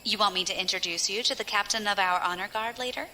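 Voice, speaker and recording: Woman acting a cocky, bright-voiced female space pirate. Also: mid-range voice, fast, slight background noise. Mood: smug, playful. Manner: wry, teasing, self-assured. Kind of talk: audio drama acting.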